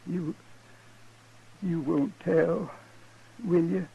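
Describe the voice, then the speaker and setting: A mortally wounded American westerner, humble and ashamed, gasping out words slowly in a very raspy, dark voice. He is in a 1950s radio western on a muffled old recording. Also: fairly high pitch, fairly even intonation, full character voice. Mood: weak, ashamed. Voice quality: weak, husky, creaky. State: mortally wounded, failing breath. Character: dying, ashamed frontier man, humble and halting.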